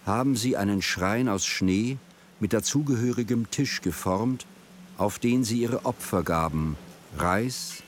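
A man's voice: lowish voice, measured pace, a little hiss or hum. A man reading scripted narration for a radio drama.

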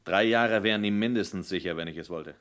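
An actor playing a dutiful, doubtful police lieutenant in a radio crime drama, with clipped replies. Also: male voice, deep voice, fast talker, fairly bright tone. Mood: matter-of-fact.

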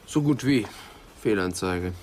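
An actor playing a wry, teasing police assistant in a radio crime drama, talking in a colloquial, dryly ironic way. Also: male voice, on the low side, animated, measured pace, darkish tone, a little hiss or hum. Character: wry, teasing male police assistant reporting to his inspector.

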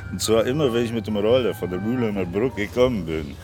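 Low-pitched man chatting over music. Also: fast talker. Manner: chatty, often tags sentences with a checking "ne?". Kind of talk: casual recollection in conversation. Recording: music behind the talk.

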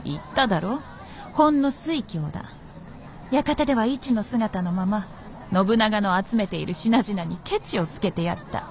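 An actress playing a haughty, mischievous princess spirit, imperious and teasing, with archaic speech in a radio drama. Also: female voice, medium pitch, a little slow, a little hiss or hum, lightly acted. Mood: wry, proud. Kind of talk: Japanese period radio drama.

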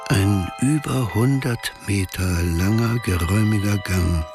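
Wry, low-voiced male narrator. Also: a little slow, music in the background. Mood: grave. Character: wry male narrator commenting on the heroine's plight. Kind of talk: scripted radio drama narration.

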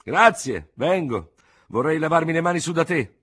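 Brief: man doing a snappy, urgent young officer, husky and loud. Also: a little high, animated, moderate pace, pitched above the performer's own voice. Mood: curt, urgent. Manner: terse, irritated. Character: curt, rattled young male army lieutenant.

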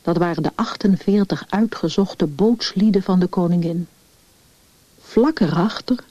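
A woman's voice: fairly low pitch, warm tone, dry recording. A woman narrating a scripted literary story as its narrator. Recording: dull, lo-fi radio recording.

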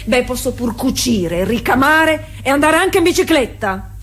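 A woman's voice: sits high, expressive, normal speed. An actress playing a feisty, devout working-class woman cyclist, confiding in Jesus in a colloquial stage monologue, repeating words insistently. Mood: wistful, defiant. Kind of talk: theatrical monologue, intimate and colloquial.

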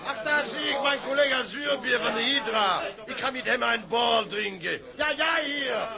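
A man playing a boisterous, hard-drinking tugboat captain with a gravelly voice in a radio drama. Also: very high-pitched, moderate pace. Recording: noisy tavern crowd behind.

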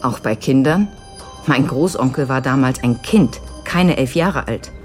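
A woman's voice: rumbling low, expressive, a little slow, darkish tone, soft background music. An actress playing an earnest, insistent woman seeking answers about a relative in a naturalistic radio drama. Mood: questioning.

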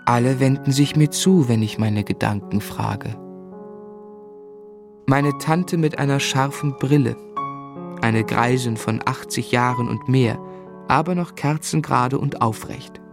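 A devoted, reflective novelist looking back in a literary radio drama. His delivery is earnest and measured, at a medium pitch. Music plays clearly beneath the voice. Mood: serene, nostalgic. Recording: studio radio play with music underneath.

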